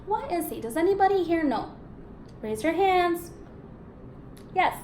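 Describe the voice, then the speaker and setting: A woman's voice: extremely high pitch, lively intonation, measured pace, a little mellow. A woman teacher reading a storybook aloud to young pupils, expressively, prompting them with questions and affirming answers. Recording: roomy echo, faint background noise.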